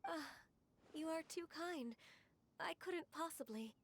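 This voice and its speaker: A sweet young disciple speaks in a high voice with polite, hesitant modesty. She is a self-effacing, courteous video game character. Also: slow pace, slight background noise, heavily acted. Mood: humbly grateful. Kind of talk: video game cutscene acting.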